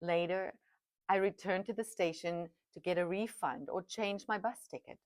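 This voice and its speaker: A woman's voice: fairly low pitch, normal speed, no echo, studio quality. Reflective woman reading memoir. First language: Afrikaans. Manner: reflective, with chatty asides. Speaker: podcast host and author. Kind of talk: reading her own memoir aloud. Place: home dining room.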